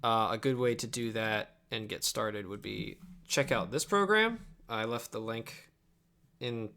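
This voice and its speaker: A man's voice: lowish voice, measured pace, gravelly, sharp tone. A young man chatting casually and offhandedly on a livestream while he edits video at his computer, sometimes muttering to himself. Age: young adult. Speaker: live streamer and video editor. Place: indoors at a home computer desk. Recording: clicks and keyboard noise behind the voice. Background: mouse clicks, typing.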